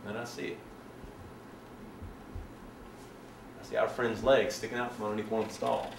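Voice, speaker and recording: A man performing a dramatic monologue as a self-righteous, resentful young man, telling his story in a halting, colloquial, confiding way. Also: lowish voice, flat delivery, vocal fry. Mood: tense, hushed. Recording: echoey room, distant mic, faint noise.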